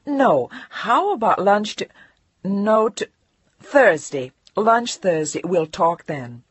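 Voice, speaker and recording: A woman voicing a smooth, urbane male magazine editor in his late thirties who talks in breezy, clipped phrases. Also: medium pitch, sing-song, takes it slowly, dry recording, pitched above the performer's own voice, exaggerated voice. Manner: breezy, clipped, calls everyone dear.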